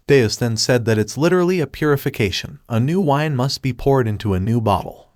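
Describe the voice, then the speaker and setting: A man's voice: medium pitch, no room sound, studio quality. A man reading a scripted story recap as a narrator, voicing quoted lines in his own narrating voice.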